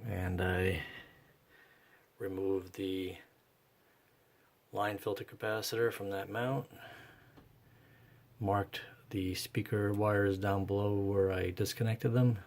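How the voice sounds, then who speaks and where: Deep, gravelly-voiced man thinking aloud. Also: fairly even intonation, takes it slowly, pauses often, darkish tone. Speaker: host of a radio repair vlog. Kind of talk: casual unscripted workbench narration.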